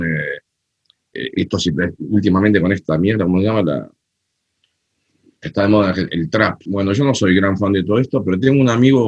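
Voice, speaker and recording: An Argentine-accented man speaks in a low, husky voice, recorded dry and a little muffled. He tells frank, lively stories in casual conversation. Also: dry recording. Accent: Argentine Spanish. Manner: animated storyteller, frank and opinionated. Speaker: guest, cumbia festival creator. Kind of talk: casual interview chat.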